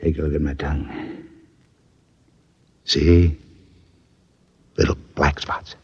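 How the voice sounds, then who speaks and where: An actor playing a big, tough crewman worn down and dying, weary and husky, in old-time radio drama style. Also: extremely low pitch, flat delivery, takes it slowly, slight background noise. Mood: resigned, fading. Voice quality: husky, breathy, weak. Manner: weary, slangy, fatalistic. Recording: dull, muffled vintage radio recording.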